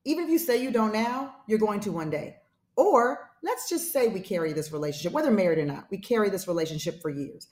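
A woman's voice: medium pitch, animated, fairly quick, a little mellow, roomy sound. A woman in her forties talking frankly and emphatically in a casual chat. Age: 40s.

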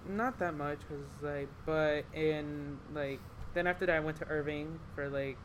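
A teenage girl, a student guest, talking in a rambling, halting way full of fillers. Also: low-pitched, fairly even intonation. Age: teens. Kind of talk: casual chat. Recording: noisy room.

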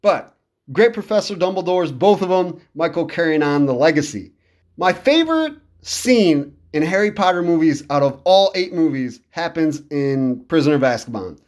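A man's voice: on the high side, animated, moderate pace, darkish tone. A man in his thirties giving casual, enthusiastic solo commentary as a podcast host, in a conversational way. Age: 30s.

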